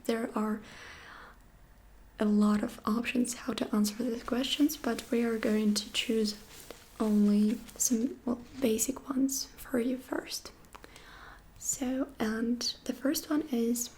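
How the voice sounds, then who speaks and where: A woman giving a whispered ASMR language lesson, softly repeating each phrase slowly and close to the microphone. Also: fairly high pitch, a little hiss or hum. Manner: soft whisper, slow repetitions. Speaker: language teacher.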